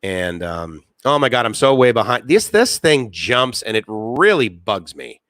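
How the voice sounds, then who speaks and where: A man's voice: medium pitch, sing-song, a little slow, a bit bright, no echo, clean studio sound. A man, hosting a casual live stream, rambling with many fillers and reading chat comments aloud.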